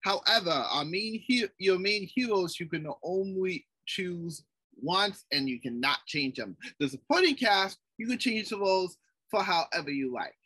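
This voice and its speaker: A man's voice: high voice, lively intonation, moderate pace, pushed and bright, breathy edge, no echo. A man casually explaining over a video call, stumbling over restarts as he goes. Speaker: let's play project co-organizer.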